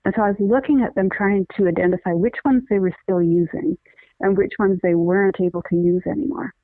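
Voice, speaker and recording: A woman's voice: mid-range voice, fairly even intonation, no echo. Earnest woman explaining over a tinny phone line. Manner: earnest, many restarts, deliberate explaining. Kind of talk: conversational interview, explaining.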